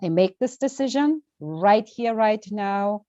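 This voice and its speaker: Bulgarian-accented woman, earnest and deliberate. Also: medium pitch, animated, warm tone, no room sound, lo-fi sound. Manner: earnest, deliberate, with emphatic pauses. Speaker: guest, well-being coach. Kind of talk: interview conversation.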